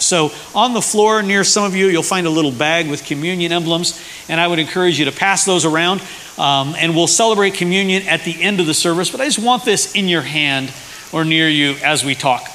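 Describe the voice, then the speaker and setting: A man's voice: high voice, bright, forceful tone. A man preaching casually to his congregation with fillers and restarts. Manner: conversational, with fillers. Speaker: pastor. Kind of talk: church sermon. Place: church hall. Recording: hissy echoey church hall.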